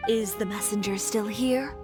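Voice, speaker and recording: A woman playing a proud, no-nonsense young fashion designer in a video game, blunt and assertive. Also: medium pitch. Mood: exasperated. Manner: assertive, blunt, crisp delivery. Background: background music.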